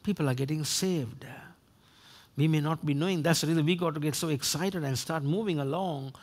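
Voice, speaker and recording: A man's voice: a little high, a little fast. A man preaching a sermon emphatically, repeating phrases for effect, on a headset mic. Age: 60s. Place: church stage, indoors.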